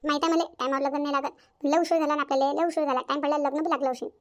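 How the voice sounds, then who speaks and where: Bossy, high-pitched mother-in-law, acted by a woman, rattling along. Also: steady tone, very fast, lightly acted. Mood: impatient. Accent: rural Maharashtra, Varhadi. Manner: scolding, repetitive, insistent. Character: bossy, easily irritated older village mother-in-law. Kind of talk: cartoon family drama acting.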